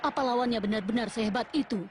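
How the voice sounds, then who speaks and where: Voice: medium pitch, fast talker, close to an everyday voice. An actor voicing a spirited boy soccer teammate in a cartoon, urging others on in urgent exclamations. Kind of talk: dubbed cartoon acting. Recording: loud music and crowd noise.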